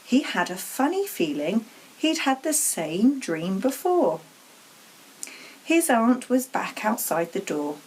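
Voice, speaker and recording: Slow, expressive woman reading a story. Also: fairly high pitch, slight background noise, poor audio. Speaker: story reader. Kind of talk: expressive children's storybook narration.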